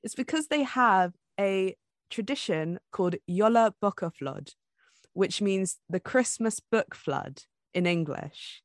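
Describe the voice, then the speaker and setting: A woman's voice: mid-range voice, animated, takes it slowly, dry recording, studio quality. A woman podcast co-host chatting casually and informally, telling things in a conversational, storytelling way. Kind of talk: casual book podcast chat.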